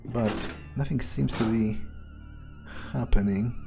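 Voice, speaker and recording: A man's voice: mid-range voice, takes it slowly, vocal fry, music in the background. A man thinking aloud in character during a video game playthrough, sardonic and hesitant, with casual swearing. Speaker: gamer playing in character.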